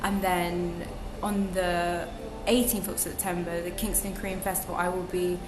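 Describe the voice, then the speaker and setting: A woman's voice: fairly low pitch, little pitch movement, normal speed, background noise. A young woman talking as a podcast guest, reflective and chatty, with many ums and restarts. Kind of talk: casual interview chat. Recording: echoey room with music behind.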